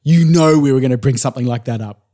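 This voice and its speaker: A man's voice: mid-range voice, fast, dark tone. A man with an Australian accent co-hosting a podcast, arguing his points insistently and swearing casually. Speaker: podcast co-host.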